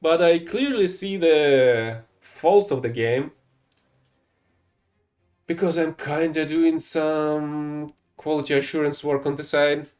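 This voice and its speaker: Brief: young man with a fairly high voice, English his second language, offhand gameplay commentary with frequent self-corrections. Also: slow, darkish tone, faint music behind. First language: not English. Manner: rambling, self-correcting, mildly exasperated. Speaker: gameplay streamer.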